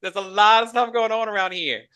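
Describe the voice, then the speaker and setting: A man on a panel video call, joking and teasing in a relaxed, colloquial way. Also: sits high, brisk, a crowd in the background. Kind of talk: casual joking banter.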